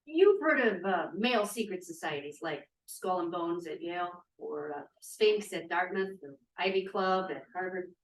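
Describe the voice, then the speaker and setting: A woman's voice: fairly low pitch, animated, normal speed, few pauses, slight background noise. A woman reading the part of a blunt, cynical young activist in a period drama, with a challenging manner. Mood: persuasive. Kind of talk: table read of a period drama. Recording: echoey, distant room sound.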